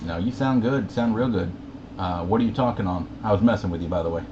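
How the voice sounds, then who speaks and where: A man's voice: fairly low pitch, quick pace. A man in casual conversation, transmitting over a CB radio. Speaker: CB radio operator. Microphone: CB radio microphone, heard through receiver. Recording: muffled CB radio reception with static.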